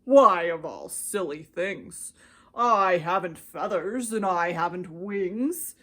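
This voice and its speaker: A woman voicing a huge, kindly male storybook elephant with slow, emphatic, earnest speech. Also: on the low side, expressive, fairly bright tone, heavily acted. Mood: incredulous. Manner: slow, emphatic, drawn-out delivery. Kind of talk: children's storybook character voice.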